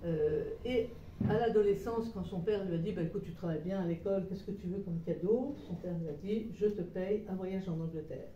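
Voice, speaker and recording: Dark-voiced woman speaking from a distance. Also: fairly low pitch, a little fast, lo-fi sound, a little hiss or hum. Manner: digressive, hesitant storyteller with many restarts. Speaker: guest author presenting her book. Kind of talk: informal book talk to a small audience. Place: meeting room with a live audience. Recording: roomy echo, voice far from mic.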